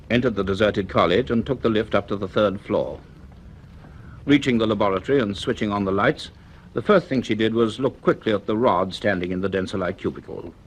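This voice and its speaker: A man narrating a suspense radio serial in a dramatic scripted style, with measured, suspenseful phrasing. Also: on the low side. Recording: noisy old radio drama recording.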